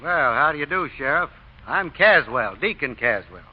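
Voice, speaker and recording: An actor playing a wily, smooth-talking older gambler posing as a deacon, folksy and politely wheedling, in old radio drama style. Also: male voice, on the high side, animated, normal speed. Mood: mock pious. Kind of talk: 1940s radio acting. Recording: muffled, faintly noisy old radio recording.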